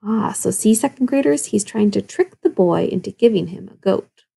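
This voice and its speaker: A woman's voice: mid-range voice, lively intonation, normal speed, creaky, mellow, husky. A woman speaking as a primary teacher, reading a children's story expressively and putting questions to young pupils. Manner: instructive, questioning asides to children. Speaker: second-grade teacher.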